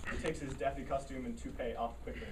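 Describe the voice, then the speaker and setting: A woman's voice: extremely low pitch, steady tone, fast talker, darkish tone. A woman reading stage directions as scripted narration for a play. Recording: echoey, noisy room, distant mic.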